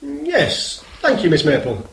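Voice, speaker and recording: A man playing a polite, methodical and persistent police inspector in a radio play, formal and measured in manner. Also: high voice, huge pitch swings, gravelly, full character voice. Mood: courteous. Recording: roomy echo, faint noise.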